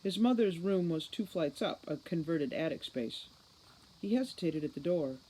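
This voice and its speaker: A woman reading an audiobook novel aloud in an expressive storytelling style. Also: deep voice, normal speed, slightly rough, rough recording. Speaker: audiobook reader. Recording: faint background noise.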